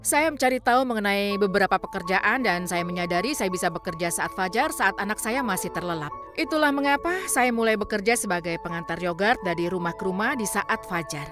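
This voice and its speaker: Woman doing a calm earnest voice-over, steady middle pitch, pauses a lot, clear music behind her. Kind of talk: dubbed reading of a personal testimony. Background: background music.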